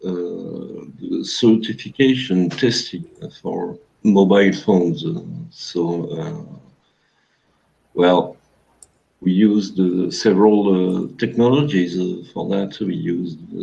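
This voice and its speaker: A French man in his fifties, a programmer guest in an interview, speaking English slowly with many pauses to search for words. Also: sits low, creaky, dark tone, highs cut off. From France. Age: 50s. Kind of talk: casual interview over a call.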